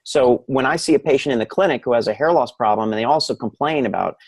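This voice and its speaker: A man's voice: medium pitch, quick pace, breathy edge, dry recording. A man speaking as an expert physician guest, explaining his field conversationally in an interview.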